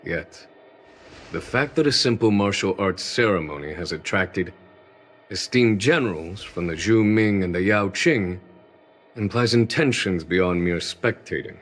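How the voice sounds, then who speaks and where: An actor playing a calm, shrewd male general who speaks formally. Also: low-pitched, exaggerated voice. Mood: composed. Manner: measured, formal. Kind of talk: game voice acting.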